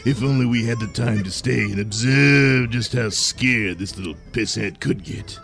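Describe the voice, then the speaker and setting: A man's voice: mid-range voice, moderate pace, creaky, music in the background, natural voice. A man voicing a sneering male supervillain gang leader in a comedy audio play, with a gloating, sinister drawl and cackles.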